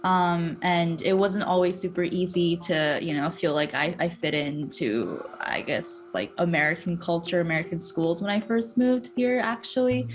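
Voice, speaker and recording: A young woman from Korea whose first language is Korean, a student answering interview questions in a measured way. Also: fairly low pitch. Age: young adult.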